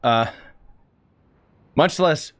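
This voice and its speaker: A man's voice: on the high side, very slow, dry recording. A young man giving casual, sarcastic, slangy commentary as a gaming streamer. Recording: game music behind the voice. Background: game music.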